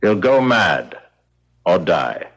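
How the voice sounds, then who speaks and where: An actor in a 1970s radio drama playing the calm, detached boss of a grim prison, unhurried and coolly understated. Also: male voice, sits low, exaggerated voice. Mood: cool, resigned. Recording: dull, muffled old radio recording.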